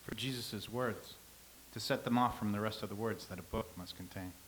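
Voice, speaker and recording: A man reading the part of a calm, philosophical old-time scribe, speaking in a measured, lecturing way. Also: on the low side, a little hiss or hum, close to an everyday voice, rough recording. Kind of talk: staged reading.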